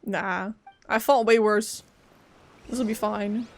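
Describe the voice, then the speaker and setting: A woman's voice: mid-range voice, steady tone, slow, slight background noise. A woman game streamer giving casual, playful, wry reaction commentary.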